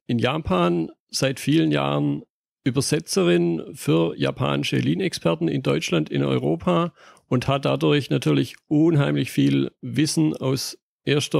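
A man's voice: fairly high pitch, vocal fry, a little mellow, no room sound, studio quality. A man hosting a podcast, reading a formal intro and then interviewing his guest. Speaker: podcast host.

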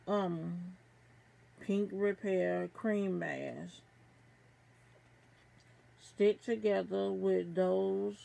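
Middle-aged woman, gravelly fry, halting product read-through at home. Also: fairly low pitch, mellow. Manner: halting read-aloud, stumbling over names. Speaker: beauty box reviewer. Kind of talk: product review, reading aloud from an info card. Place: small room at home.

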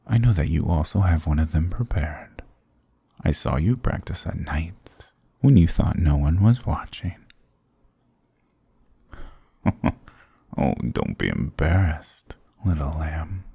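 Man as a very deep, gravelly demon king. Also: dark tone, no room sound, heavily acted. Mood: mocking. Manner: smug, teasing, condescending, drifting into whispers. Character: sarcastic, dominant male demon king, playfully toying with captive. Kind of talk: intimate ASMR audio roleplay.

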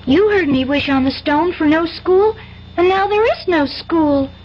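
A woman's voice: very high-pitched, a little slow. An actress playing a stubborn young country girl in a radio drama. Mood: stubborn, curious. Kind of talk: 1970s radio drama acting. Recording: dull old radio recording, faint noise.

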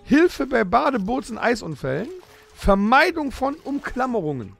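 A man's voice: very high-pitched, sing-song, moderate pace, vocal fry, fairly bright tone, soft background music. A man co-hosting a comedic improvised audio play, reading out rules with eager, insistent emphasis. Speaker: podcast co-host.

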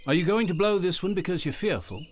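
A man's voice: high-pitched, lively intonation, slight background noise. An actor playing a young Englishman, an insistent, encouraging evangelist in a radio drama. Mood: urging. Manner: brisk, urging, insistent. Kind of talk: audio drama acting.

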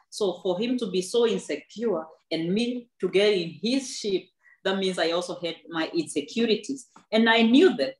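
A woman's voice: fairly low pitch, echoey room, low-quality recording. A woman in her thirties, an author telling her personal story in an earnest, faith-filled and reflective way, often restarting her sentences. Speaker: author and guest. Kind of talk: personal testimony in a book club talk. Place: indoor room with a backdrop.

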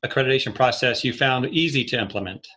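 Middle-aged male interviewer, medium pitch. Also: quick pace, pushed and bright. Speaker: interviewer, host. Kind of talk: conversational interview questions. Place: small office, indoors.

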